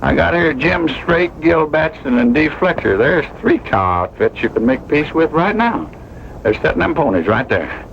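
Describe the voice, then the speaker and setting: A talkative cowhand go-between coaxes in a folksy rural western American accent. The pitch is raised and swings freely, with faint noise on an old western soundtrack. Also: male voice, expressive, normal speed, muffled recording. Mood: coaxing. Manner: folksy, wheedling, colorful. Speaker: actor. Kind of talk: mid-century western drama acting.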